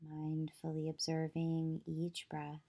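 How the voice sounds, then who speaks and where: A woman leading a guided meditation as a yoga nidra teacher, soothing and measured, with long pauses between short phrases. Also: low voice, completely flat delivery, dark tone.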